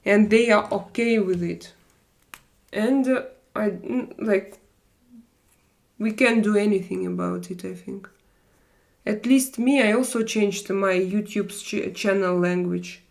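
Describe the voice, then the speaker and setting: Woman streamer, unhurried and a bit gravelly, halting English full of fillers, chatty live stream host. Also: medium pitch. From Russia. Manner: halting, searching for words with many fillers. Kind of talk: casual live stream chat, reading viewer comments.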